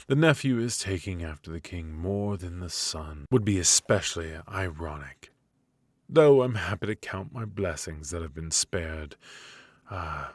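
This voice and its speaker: A man playing a bitter, haughty royal mage in an intimate fantasy ASMR roleplay, speaking in a measured, aristocratic, dryly mocking way. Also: deep voice, no room sound. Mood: dry disdain. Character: bitter, sardonic, haughty royal mage passed over for succession. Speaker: amateur voice actor.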